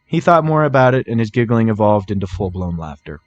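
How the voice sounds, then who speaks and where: A man's voice: lowish voice, very animated, a little fast, highs cut off, soft background music. A man narrating scripted fan fiction as a dramatic storybook reading.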